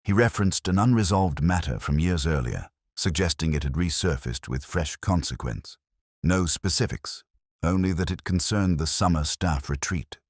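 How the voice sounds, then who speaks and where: A man with an extremely deep voice narrates a detective mystery in the first person. His delivery is solemn and measured, with lively movement in pitch across carefully literary sentences. The sound is close and dry, free of room echo. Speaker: audio drama narrator.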